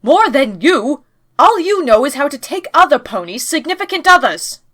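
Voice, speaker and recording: A woman's voice: sits high, very animated, moderate pace, fairly bright tone. A woman voicing a blunt, indignant younger-sister pony princess in a scripted audio drama, sarcastic, exasperated and emphatic. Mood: snapping.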